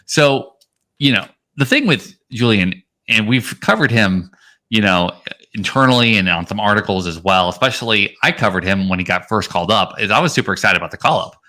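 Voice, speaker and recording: A man's voice: on the low side, expressive, fairly quick, sharp tone. A man co-hosting a fantasy baseball podcast, giving casual analysis in a rambling way that stresses key points. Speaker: podcast co-host.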